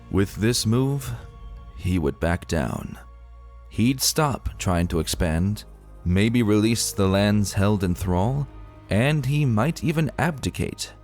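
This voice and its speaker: A man's voice: fairly low pitch, a little mellow. A man giving a dramatic scripted reading of a story as its narrator. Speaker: narrator of fan fiction readings. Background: music.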